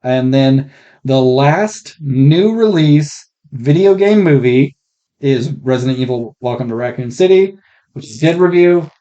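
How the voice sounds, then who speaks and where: A man's voice: mid-range voice, expressive, a little slow, dark tone. A man co-hosting a podcast in casual movie review chat, blunt, sweary and rambling. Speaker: podcast co-host. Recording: muffled, rough faulty podcast mic.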